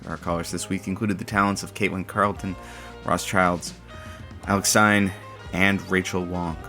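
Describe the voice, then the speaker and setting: A man's voice: low-pitched, flat delivery, moderate pace, pushed and bright, soft background music. A man acting as an awkward, conciliatory sidekick in a radio studio, casual and a little hesitant. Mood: matter-of-fact. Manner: hesitant, placating. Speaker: radio co-worker who reads the credits.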